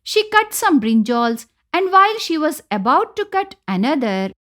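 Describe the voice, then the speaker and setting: A woman storyteller with an Indian accent reads scripted narration. Her voice sits on the high side and moves up and down in an animated way. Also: moderate pace.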